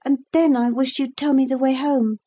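A woman's voice: high voice, fairly even intonation, moderate pace, warm tone, breathy edge, pitched above the performer's own voice. A woman voicing a proud, polite young boy with an earnest, formal manner in a storybook. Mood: polite, puzzled.

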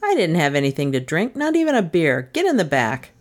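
A woman voicing a casual, wry male detective in a crime story, with a brisk, bossy manner. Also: deep voice, wildly swooping pitch. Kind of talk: storybook character voice in crime fiction.

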